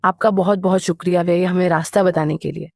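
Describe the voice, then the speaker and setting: A woman voicing a young, kind-hearted mermaid in a cartoon story, earnest and expressive. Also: on the low side, a little fast, creaky, no echo, studio quality. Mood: polite. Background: music.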